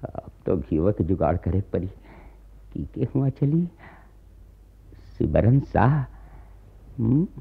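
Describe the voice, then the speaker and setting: An actor playing a poor, humble Indian peasant farmer, with a rural Awadhi accent and a deferential, exclaiming manner, in a radio play. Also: male voice, low voice, creaky, slightly rough, full character voice. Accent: Awadhi, rural north Indian. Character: poor, humble peasant farmer, anxious to please, scolding father. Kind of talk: radio drama acting. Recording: muffled, tinny archive radio recording.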